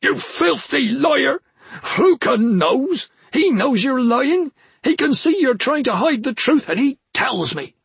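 A male narrator acts out a furious young madman from a fantasy story. His voice leaps far up into a strained scream, loud and accusing. The delivery is frantic and close, in a dry recording. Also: very high voice, big pitch swings, slow, gravelly, slightly rough, dry recording, pitched above the performer's own voice, exaggerated voice. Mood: enraged, accusing. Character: enraged, mad young man with wild magic, screaming accusations. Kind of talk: fantasy audiobook character acting.

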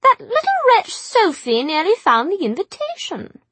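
A haughty young society girl for an audiobook. The voice is extremely high and swoops dramatically, breathy and affected, full of coquettish airs. It is recorded close and dry. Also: huge pitch swings, normal speed, a bit bright, no room sound, full character voice. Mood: haughty, indignant. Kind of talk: audiobook character dialogue.

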